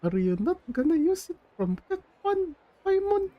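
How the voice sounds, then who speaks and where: A woman's voice: very high voice, sing-song, moderate pace, muffled recording, slight background noise. A woman livestreaming a video game, chatting and reacting casually. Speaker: game streamer. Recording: game music behind the voice.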